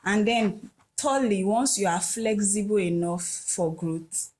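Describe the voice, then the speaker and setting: A woman's voice: mid-range voice, takes it slowly. Thoughtful woman on a podcast. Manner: thoughtful, hesitant, lots of "um". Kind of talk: casual podcast discussion.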